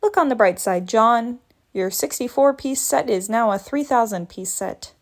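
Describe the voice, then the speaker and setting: A woman's voice: on the high side, animated, moderate pace. A woman reading aloud as a lazy, sarcastic male cartoon cat, with dry, wisecracking, grumbling lines. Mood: smug. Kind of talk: storybook read-aloud.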